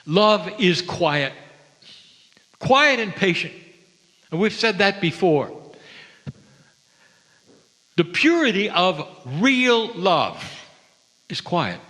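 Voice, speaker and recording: Slow-speaking man preaching in a reverberant hall. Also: sits high, big pitch swings, pushed and bright. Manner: slow, deliberate, with dramatic pauses. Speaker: spiritual channeler and speaker. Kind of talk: spiritual sermon to an audience.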